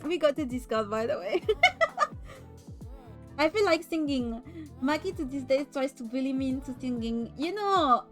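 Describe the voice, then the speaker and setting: French-accented woman streamer, high voice, slow and giggly chat, faint music behind. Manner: giggly, laughs often, stumbles and repeats words. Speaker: VTuber streamer.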